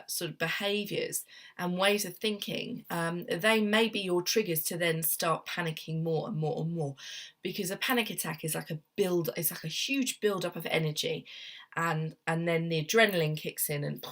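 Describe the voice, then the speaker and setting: A young woman therapist with a cold, talking casually to camera at her desk at home, gentle and meandering with many ums and restarts. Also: low-pitched. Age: young adult. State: has a cold, sniffly. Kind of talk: casual self-help talk to camera.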